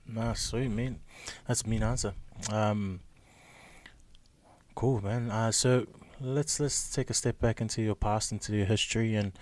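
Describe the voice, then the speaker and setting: A man's voice: on the low side, fairly even intonation, a little slow, darkish tone, a little hiss or hum. A man hosting a casual interview, asking laid-back questions in a slangy, easygoing way. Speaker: podcast host.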